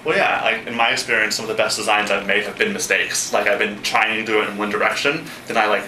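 A man's voice: lowish voice, flat delivery, bright, forceful tone. A young man interviewing casually, upbeat, asking questions packed with "like". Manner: upbeat, quick questions with many "like"s. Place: cafe-like room, indoors. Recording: echoey room with faint noise.

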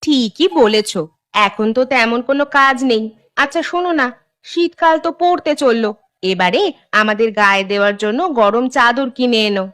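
A woman playing a caring, practical village housewife in a cartoon, chatty and expressive. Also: fairly high pitch, normal speed, smooth, natural voice. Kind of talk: Bengali cartoon voice acting.

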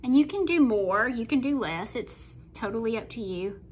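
A middle-aged woman with a Southern US accent talks viewers through her painting in a folksy, chatty way. Her voice sits a little high for a woman. A faint hiss of room noise hangs under the overhead phone recording. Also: lively intonation, moderate pace, darkish tone. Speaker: craft painting host. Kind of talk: casual painting tutorial. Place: home kitchen table, indoors.

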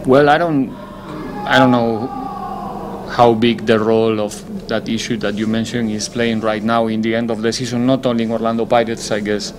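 A man, a football head coach, answering press conference questions in a measured, deliberate way, often pausing to find his words. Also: mid-range voice, little pitch movement, background noise.

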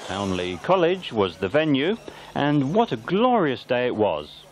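A man's voice: mid-range voice, wildly swooping pitch, unhurried, slight background noise. A man narrating a local amateur newsreel from a script. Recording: band-limited, dull lo-fi videotape sound.

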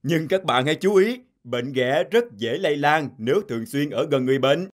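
Cartoon TV doctor, male actor: fairly high voice, formal instructional presenting. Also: expressive, brisk, noisy background, lightly acted. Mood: courteous.

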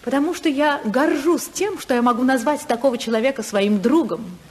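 A woman's voice: high voice, a little fast, breathy edge. An actress playing a spirited, self-assured senator's wife and mother in a 1950s radio play. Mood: proud, defiant. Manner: lively, ironic, assured. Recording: 1955 radio drama recording.

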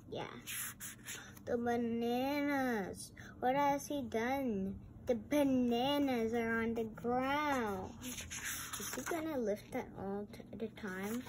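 A woman reading a picture book aloud and adding chatty, exclamatory comments in a halting way. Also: a little high, darkish tone. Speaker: read-aloud host. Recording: noisy amateur home recording.

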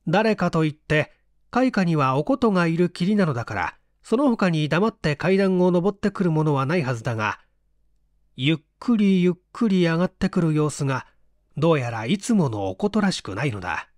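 Man narrating suspense fiction with lively intonation. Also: a little high, no room sound, clean studio sound. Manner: suspenseful, theatrical, measured. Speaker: audiobook reader. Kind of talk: scripted dramatic narration of a mystery novel.

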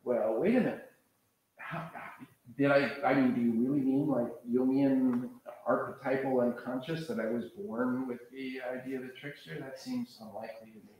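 Older guy from the us west coast lecturing, average pitch, really echoey hall, far from the mic. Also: steady tone, normal speed, dull audio, slight background noise. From American Pacific Coast. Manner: conversational, digressive, gestures while pacing. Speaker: professor leading a master class. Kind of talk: lecture to a seated audience. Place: large lecture hall with red seats. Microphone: far camera.

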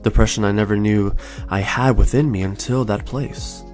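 A young man in his twenties hosting a podcast, telling his own story in a soft, slow, soothing way. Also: lowish voice, fairly even intonation. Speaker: podcast host. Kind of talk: calm reflective storytelling. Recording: faint lo-fi music bed. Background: soft lo-fi music.

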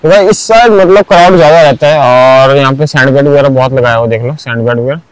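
A man's voice: mid-range voice, fast, smooth, no room sound, low-quality recording. A young man narrating a casual walking tour of a beach at sunrise, chatty, with lots of filler words. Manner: chatty, keeps saying "bhai" and "matlab". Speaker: vlogger.

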